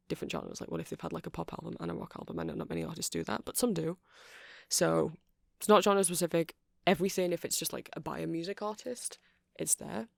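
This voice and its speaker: Nerdy vampire shop clerk ASMR performer, gravelly fry, dry intimate sound, eager but unsure, rambles and apologises. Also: mid-range voice, dry recording. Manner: hesitant, rambling, self-deprecating, nervous little laughs. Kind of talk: ASMR roleplay.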